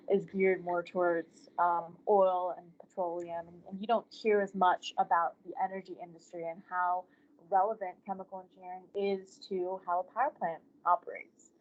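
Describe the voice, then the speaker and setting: Chatty young woman on a muffled call. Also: on the low side. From Southeast Florida, US. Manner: chatty, with light laughs. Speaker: panelist, chemical engineering student. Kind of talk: casual panel talk to students.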